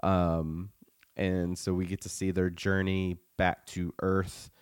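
A man's voice: deep voice, little pitch movement, slow pace, smooth, no room sound, clean studio sound. A man giving a casual podcast review while getting over the flu.

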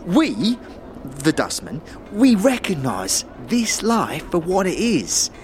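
A man's voice: high-pitched, wildly swooping pitch, slow pace, slight background noise, exaggerated voice. A man playing a shifty, stammering pickpocket con artist who poses as a pious, obsequious monk in a fantasy audio drama. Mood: unctuous, pious.

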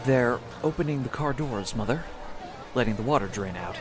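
An actor playing a dutiful, protective young adult son in a 1940s-style radio drama. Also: male voice, medium pitch, animated, moderate pace, lightly acted. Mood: concerned, pleading.

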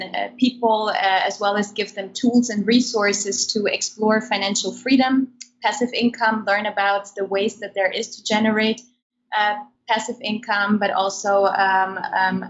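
A woman's voice: mid-range voice, lo-fi sound. A woman who grew up in Germany, a podcast guest explaining her project with frequent hesitant ums. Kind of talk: interview conversation.

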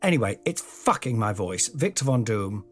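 A slightly croaky man hosts his own podcast, wandering from thought to thought in a chatty, self-mocking ramble with the odd swear word. He records at home in an old house on a mic with no pop shield, and faint music sits low in the background. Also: very animated, normal speed. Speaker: podcast host. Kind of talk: rambling solo podcast monologue. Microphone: home podcast mic without a pop shield.

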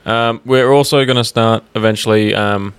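Low-voiced, blokey young Australian man. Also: fairly even intonation, normal speed, smooth, no room sound. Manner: blokey, slangy, sweary. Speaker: podcast co-host. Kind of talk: casual chat. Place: small home gaming room.

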